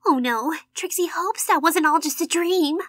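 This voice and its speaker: A woman's voice: extremely high pitch, moderate pace, a bit bright, dry recording, studio quality. A woman voicing a dramatic, self-important but tender young female unicorn pony in a cartoon comic dub, with a theatrical manner. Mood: excited. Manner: theatrical, speaks of herself in third person. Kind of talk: animated comic dub acting.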